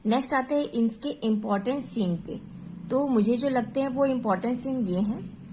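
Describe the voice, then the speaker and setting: A woman's voice: a little high, moderate pace, background noise. A woman teaching exam prep, asking rhetorical questions, answering them herself and correcting herself as she goes. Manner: mixes Hindi with English terms; corrects herself mid-sentence.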